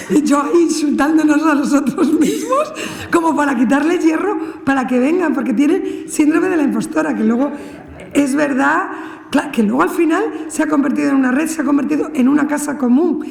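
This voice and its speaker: A woman's voice: on the high side, vocal fry, mellow. A woman giving an informal panel presentation in a chatty, digressive and emphatic way. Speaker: panel speaker from a science radio project. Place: indoor panel event hall. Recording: echoey hall with long reverb.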